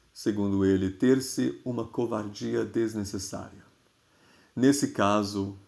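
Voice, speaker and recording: A man with a Brazilian accent reads literary prose expressively and deliberately, with dramatic pauses. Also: fairly low pitch, gravelly, a little mellow. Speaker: reader of a literary newspaper column. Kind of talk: expressive literary reading, scripted.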